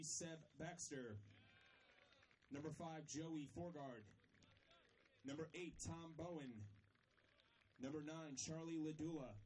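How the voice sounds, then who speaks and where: A man making formal, measured stadium announcements, reading out names and numbers over a public address system. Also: a little high, darkish tone, a little hiss or hum. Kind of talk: formal scripted lineup announcement. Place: outdoor soccer stadium, over loudspeakers. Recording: distant stadium PA pickup.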